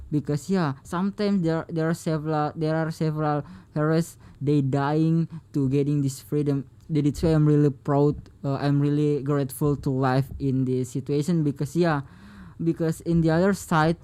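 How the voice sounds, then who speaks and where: A man with an Indonesian accent, a radio guest speaking earnestly in halting English. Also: fairly high pitch, warm tone, smooth.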